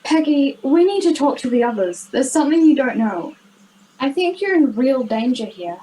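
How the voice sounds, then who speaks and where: A woman's voice: high-pitched, animated, normal speed, warm tone, low-quality recording. A girl of ten or eleven, playing a concerned, protective schoolgirl in a radio play. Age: child, 10 to 11. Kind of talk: children's radio play acting.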